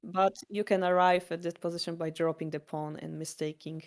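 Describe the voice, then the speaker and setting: A woman's voice: lowish voice, fairly even intonation, fairly quick, a little mellow. A woman with a Polish accent teaching a board game, patient and explanatory, with slightly non-native phrasing. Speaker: shogi teacher.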